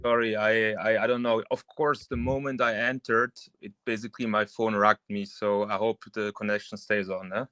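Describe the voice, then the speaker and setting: A man's voice: fairly low pitch, flat delivery, normal speed, hardly any pauses, sharp tone. A man whose native languages are German and French, speaking English with that accent as a guest, careful and a little hesitant. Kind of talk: casual interview talk.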